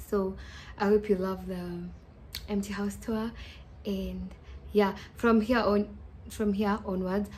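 A woman's voice: medium pitch, mellow, a little hiss or hum. Chatty young woman vlogging at home. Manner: chatty, upbeat, thinks aloud with restarts. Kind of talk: casual vlog to camera. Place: small empty apartment room indoors.

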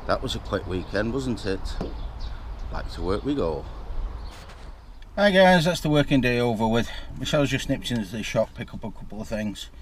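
A man's voice: mid-range voice, lively intonation, normal speed, slight background noise. A middle-aged man with a Scottish accent, from the north east of Scotland, chatting casually to a handheld camera about his day. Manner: chatty, rambling, self-filming. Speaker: vlogger. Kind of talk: casual vlog chat to camera. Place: home living room and outdoors, parked car. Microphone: handheld camera at arm's length.

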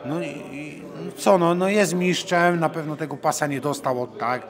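A man's voice: fairly high pitch, steady tone, moderate pace. A man, a fighter giving a conversational interview in a blunt, challenging manner into a handheld microphone. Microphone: handheld reporter microphone. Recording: crowd chatter in the background.

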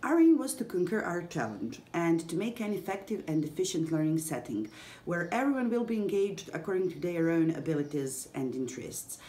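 A woman's voice: fairly low pitch, big pitch swings, a little fast, dark tone. A woman from Serbia, an English teacher, reading a scripted presentation carefully, with small hesitations. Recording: echoey room with faint background ambience.

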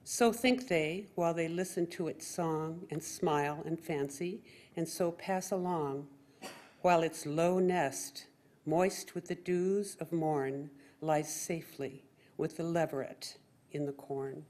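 An older woman reads verse to an audience in a slightly low voice. She takes it very slowly and deliberately, speaking into a podium microphone in a meeting room. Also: darkish tone. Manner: measured, deliberate. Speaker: poetry reader. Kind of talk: reading a poem aloud to an audience. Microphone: gooseneck podium microphone.

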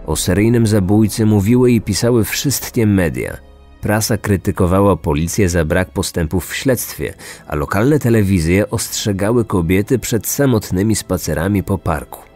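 Low-pitched man narrating true crime. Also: moderate pace, darkish tone. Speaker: host of a true-crime podcast. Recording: music bed behind the voice.

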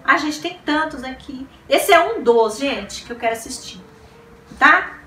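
A woman's voice: sits high, expressive, a little slow, sharp tone. A woman in her forties chatting to camera about books in a small room, gushing and repeating words as she exclaims. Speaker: book vlogger. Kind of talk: casual chat to camera. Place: small decorated room indoors. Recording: roomy room sound, a bit distant, faint hiss.

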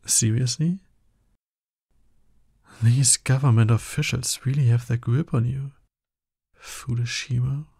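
Guy acting as a smug dominant villain for asmr roleplay, mellow gravelly voice, real close and dry, taunting. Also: mid-range voice, steady tone, slow pace, pauses often, dry recording, exaggerated voice. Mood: scornful, wry. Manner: taunting, drawling, mock-exasperated. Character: smug, dominant male villain tending his captive nemesis.

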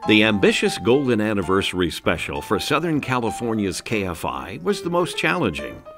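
American male narrator, low and expressive, scripted documentary read over music. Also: moderate pace. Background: guitar music.

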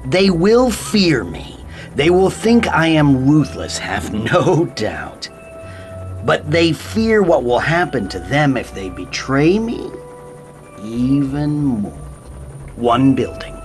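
An actor playing a coldly menacing tyrant in an audio drama, speaking deliberately and grandly with dramatic pauses. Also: male voice, medium pitch. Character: ruthless male tyrant ruling by fear, coldly menacing. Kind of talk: audio drama villain monologue.

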